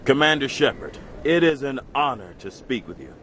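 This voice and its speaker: An actor playing a zealous nationalist politician in a sci-fi video game, with polished speechifying delivery. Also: male voice, medium pitch, sing-song, moderate pace, fairly bright tone, a little hiss or hum. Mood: fervent. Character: zealous male human nationalist politician on the campaign. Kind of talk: dramatic video game acting.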